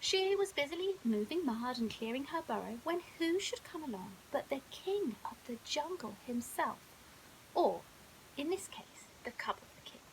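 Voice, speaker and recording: A woman's voice: high voice, sing-song, normal speed, low-quality recording. A woman narrating a children's bedtime story expressively, like a primary school teacher reading aloud. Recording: hissy background noise.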